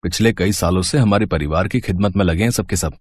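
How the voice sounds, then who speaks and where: A man playing an earnest, easygoing young son and newlywed husband in an animated moral story, eager in manner. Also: low-pitched, brisk, dry recording. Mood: agreeable. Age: young adult.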